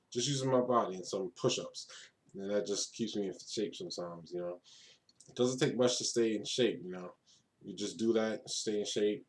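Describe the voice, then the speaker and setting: A man in his thirties from Brooklyn, New York talks off the cuff in a video diary, his voice a little lower than most men's. He rambles in a laid-back way, restarts his phrases, and circles back to repeat his points. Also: darkish tone. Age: 30s. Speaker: vlogger. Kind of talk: casual unscripted monologue to camera.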